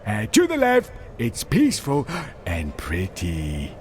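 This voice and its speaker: A man's voice: lowish voice, huge pitch swings, slow pace, darkish tone, a little hiss or hum, heavily acted. A man voicing a dim-witted, childlike, easily confused Smurf in a cartoon video game, with a goofy, naive, cheerful manner. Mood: puzzled.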